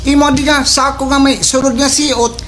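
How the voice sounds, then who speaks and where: A woman's voice: high-pitched, steady tone, moderate pace, a bit bright, slight background noise. A woman voicing a cheeky young son in a slapstick radio comedy, with a Waray accent. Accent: Waray, Eastern Visayas Philippines.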